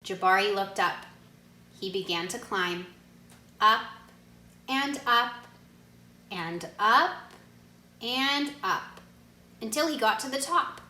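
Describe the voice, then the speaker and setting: Bright, unhurried woman reading a children's storybook. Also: mid-range voice. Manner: expressive, instructive, pauses to question young listeners. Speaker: read-aloud narrator, teacher asking listeners questions. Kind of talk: children's storybook read-aloud with questions to listeners. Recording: echoey room.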